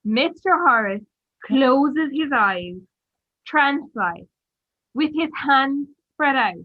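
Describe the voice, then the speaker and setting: A woman's voice: sits high, lively intonation, slow pace. A woman narrating the stage directions of an amateur radio play as a scripted reader. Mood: neutral, measured. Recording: slightly dull, lo-fi audio.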